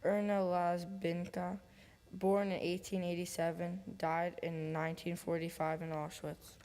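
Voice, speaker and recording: A young woman solemnly reading names and dates in a slow, even recitation. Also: on the low side, a little hiss or hum. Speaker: student reader. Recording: distant, long hall reverb.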